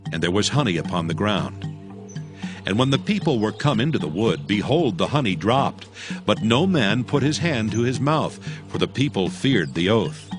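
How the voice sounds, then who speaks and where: Low-pitched male narrator over music. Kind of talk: scripted dramatic Bible narration.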